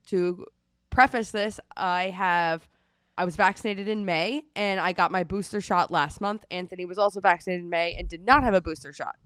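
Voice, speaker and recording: A woman in her thirties, congested and losing her voice, chatting at home and telling a lively story over a video call. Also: mid-range voice, clean tone, dry recording. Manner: chatty storytelling, animated retelling. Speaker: co-host. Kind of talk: casual chat. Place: at home in Seattle.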